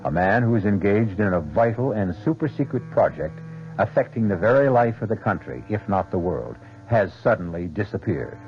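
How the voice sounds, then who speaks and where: Low, animated, portentous male radio narrator. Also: moderate pace. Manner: portentous, rhetorical. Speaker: radio drama host and narrator. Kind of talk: dramatic scripted narration, 1970s radio. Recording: muffled old radio broadcast. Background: music.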